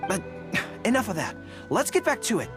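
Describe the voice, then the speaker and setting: A woman voicing a youthful, earnest and modest dog-eared general in a video game, expressive and a little hesitant, with music behind. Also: medium pitch, normal speed. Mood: wistful, earnest. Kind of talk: scripted game dialogue. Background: background music.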